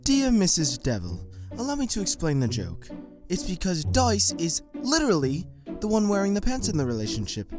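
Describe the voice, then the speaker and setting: Low-pitched, animated reader of questions. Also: female voice, moderate pace, hardly any pauses. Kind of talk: reading submitted questions for a comic dub. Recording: loud music behind the voice.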